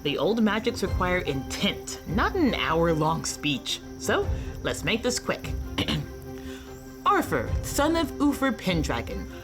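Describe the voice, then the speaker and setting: Woman playing brisk, wildly expressive noble lady. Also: lowish voice, music in the background. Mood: brisk, dismissive. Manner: theatrical, dryly comic, brisk. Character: brisk, no-nonsense noblewoman presiding over a sword rite. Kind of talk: fantasy radio play acting.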